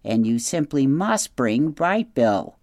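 A man voicing a cheerful, bossy old female goose in an audiobook, speaking in a bright, chatty, instructive way. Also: mid-range voice, big pitch swings, takes it slowly, no echo, clean studio sound. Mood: chirpy. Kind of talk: audiobook character voice.

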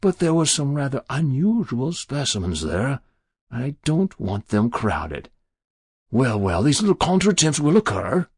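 A man voicing a smooth, sinister devil posing as a genteel businessman in a told story, with easy, unctuous, precise speech. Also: medium pitch, big pitch swings, a little slow, no echo, clean studio sound, pitched above the performer's own voice, full character voice. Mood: simpering, pious. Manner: easy, unctuous, mock-pious, precise diction. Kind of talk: dramatic literary storytelling.

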